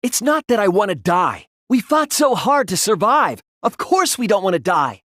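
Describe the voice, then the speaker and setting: Casting: earnest, polite teen boy detective for an anime game, timid yet resolute, very animated intonation, dry studio sound. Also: high-pitched, moderate pace, no room sound. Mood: impassioned, determined. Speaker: video game voice actor. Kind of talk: English anime game dub acting.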